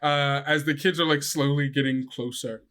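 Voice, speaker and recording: A man's voice: fairly high pitch, steady tone, normal speed, fairly bright tone. A young man running a tabletop game as game master, casual with lots of fillers.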